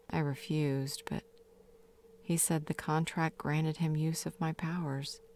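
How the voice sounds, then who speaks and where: A woman playing a grieving, tender fae mother in an audio drama, sorrowfully confessing her regrets in halting, half-whispered speech. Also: deep voice, a little mellow. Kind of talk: dramatic audio roleplay monologue.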